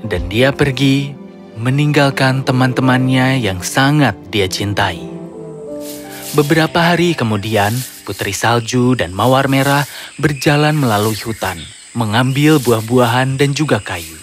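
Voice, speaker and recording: A man narrates a children's story in a middle-pitched voice. His delivery is scripted storytelling, set over clear background music. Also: measured pace.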